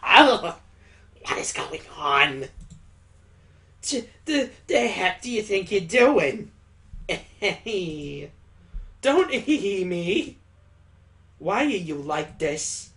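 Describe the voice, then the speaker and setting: Visual novel girl, flustered and exasperated, stammering protests, pitched fairly high played by a man. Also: very animated, drawn-out words, long pauses, fairly bright tone, heavily acted. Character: flustered, brooding girl, protesting teasing affection.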